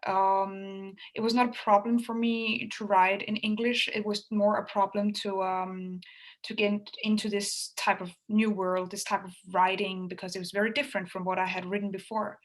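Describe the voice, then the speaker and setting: A woman from Denmark, a native Danish speaker, answering interview questions in a soft, casual way with frequent ums. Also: medium pitch, level tone, moderate pace, low-quality recording. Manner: soft-spoken, frequent ums, laughs lightly. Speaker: guest author.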